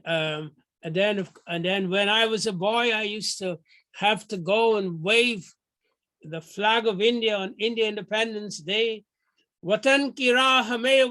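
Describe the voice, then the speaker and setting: An older man from Guyana giving a measured, formal lecture over a video call, often restarting his phrases. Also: sits high, sharp tone, no echo. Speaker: guest lecturer.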